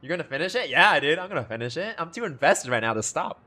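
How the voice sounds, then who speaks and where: A man's voice: fairly high pitch, sing-song, fast talker, pushed and bright. A young man reacting live to a game as a streamer, chatting casually in gushing, slangy talk. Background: faint game music.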